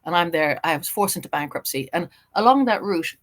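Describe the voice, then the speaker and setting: A middle-aged Irish woman, a journalist and writer, chatting as a podcast guest and telling her story in an animated way. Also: lowish voice. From Ireland. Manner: animated storytelling, quick and lively. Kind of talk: casual interview chat.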